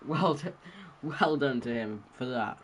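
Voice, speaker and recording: A man's voice: medium pitch, a little slow, a little hiss or hum. A man hosting a casual stream with a cold, talking in a rambling, unsure way with many restarts. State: has a cold, a bit congested. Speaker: stream host. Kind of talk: casual chat.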